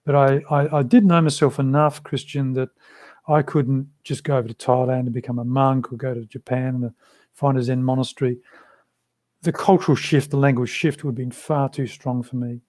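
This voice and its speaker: A man, answering interview questions in a measured, thoughtful way over a video call from a small room. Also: mid-range voice, level tone, darkish tone, dry recording. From Australia. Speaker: interview guest, Buddhist practitioner. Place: small room with a shrine, indoors.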